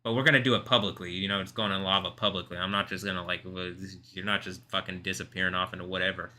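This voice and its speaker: A man hosting a live stream, talking in an intense, rambling way, confrontational at times and soft-spoken at others. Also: low-pitched, steady tone, fast. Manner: calls himself soft-spoken. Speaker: livestream host.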